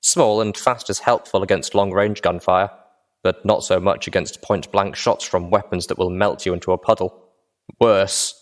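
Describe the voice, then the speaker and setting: A man reading first-person dramatic fiction as an audiobook narrator. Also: low voice.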